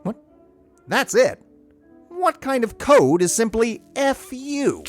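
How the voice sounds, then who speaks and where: Scheming villain, commanding, hypnotic, very animated pitch, music behind, comic audio drama. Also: male voice, takes it slowly, exaggerated voice. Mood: baffled. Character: scheming spy posing as waiter, commanding and hypnotic. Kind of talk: comedic audio drama acting.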